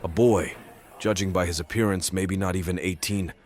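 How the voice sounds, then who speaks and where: A man playing a brooding stalker who narrates an audio drama in deliberate, detached, literary phrases. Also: sits low, steady tone, darkish tone, a little hiss or hum, natural voice. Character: brooding male stalker narrating his nightly watch. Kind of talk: scripted first-person narration, audio drama.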